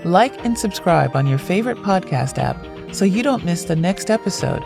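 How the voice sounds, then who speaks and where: Lowish woman reading credits over music. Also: expressive, normal speed, vocal fry. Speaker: credits announcer. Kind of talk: scripted narration.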